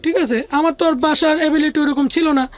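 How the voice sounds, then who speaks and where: A woman's voice: sits high, steady tone, fairly quick. A woman with a Bangladeshi accent telling a personal life story as an interview guest, conversationally, often voicing what others said.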